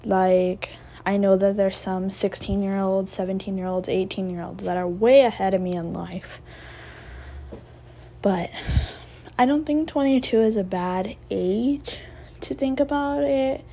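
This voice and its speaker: A woman's voice: medium pitch, unhurried, dark tone. A woman in her twenties hosting a casual solo podcast, talking in a rambling, reflective way with many fillers and restarts. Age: 20s. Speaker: podcast host.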